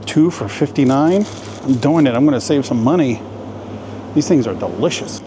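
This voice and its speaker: A man's voice: mid-range voice, animated, unhurried. A man chatting casually as a travel vlogger in a joking, playful way, filming outdoors and in shops on a handheld camera. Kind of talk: casual vlog narration. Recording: outdoor street noise.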